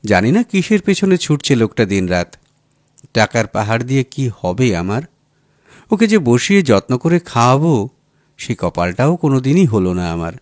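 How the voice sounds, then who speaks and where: A man's voice: medium pitch, very animated, normal speed, a little mellow, dry recording, close to an everyday voice. A man voicing a young housewife in an audiobook story, chatty and teasing, affectionate with a wistful streak. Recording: music under the voice.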